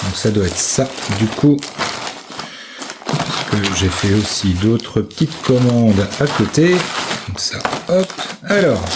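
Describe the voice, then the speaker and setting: A man giving casual product commentary, thinking aloud with hesitant pauses. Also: fairly low pitch, lively intonation, very noisy. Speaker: amateur video maker reviewing a purchase.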